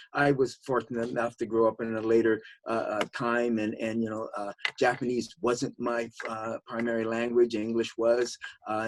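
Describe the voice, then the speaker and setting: A man's voice: medium pitch, low-quality recording. A man reflecting on a panel discussion, talking conversationally with frequent fillers. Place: video call.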